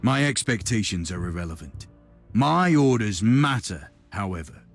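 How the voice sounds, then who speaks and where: A man's voice: lowish voice, big pitch swings, moderate pace, gravelly, soft background music, exaggerated voice. A man playing a stern, self-assured inquisitor in a video game, speaking in a curt, formal, imperious way. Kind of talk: dramatic game cutscene acting.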